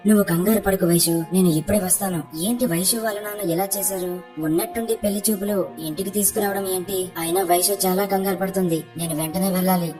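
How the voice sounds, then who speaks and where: A woman's voice: medium pitch, fast, music in the background, close to an everyday voice. A woman playing a reassuring young man in love in a cartoon story. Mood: concerned. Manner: reassuring, calm.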